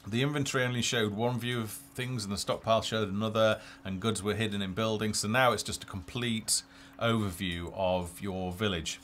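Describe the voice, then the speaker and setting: Deep-voiced man presenting a game livestream. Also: steady tone, normal speed, hardly any pauses, fairly bright tone, slight background noise. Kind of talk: casual live game demo commentary. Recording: music behind the voice.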